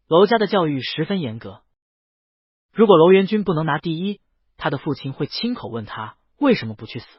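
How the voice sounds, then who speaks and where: A man narrating a first-person story in a scripted audiobook reading, with a lively and expressive delivery. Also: fairly high pitch, breathy edge, no echo. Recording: dry, dull lo-fi recording.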